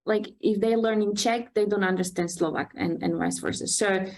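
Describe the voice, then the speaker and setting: A woman's voice: mid-range voice, normal speed, a little mellow. A woman hosting a casual podcast who often laughs and leads the talk with questions. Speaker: podcast host. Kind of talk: casual chat.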